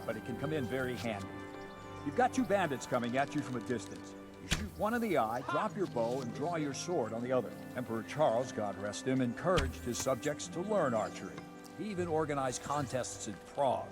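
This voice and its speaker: An actor playing a gruff, seasoned guard captain in a medieval video game, instructing in a brisk, commanding way. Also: male voice, mid-range voice, lightly acted. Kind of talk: video game drama acting.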